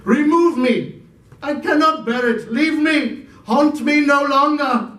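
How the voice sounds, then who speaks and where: A man's voice: very high voice, slow pace, fairly bright tone, voice pushed up, exaggerated voice. A man playing a remorseful old miser in a storybook reading, pleading and agitated. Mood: anguished pleading. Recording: echoey room, distant microphone.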